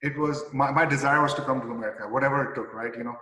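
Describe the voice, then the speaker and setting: Pakistani-accented man in his forties, guest, halting and chatty, heard in a reverberant hall. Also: mid-range voice, steady tone, pushed and bright. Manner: halting, many restarts and "you know" fillers, easy chuckles. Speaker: company president, guest. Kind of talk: casual interview answers. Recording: echoey room with long reverb.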